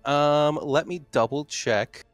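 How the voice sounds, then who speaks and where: A man's voice: on the high side, takes it slowly, no room sound, faint music behind. A man chatting casually as a player in a tabletop game, thinking his moves through aloud.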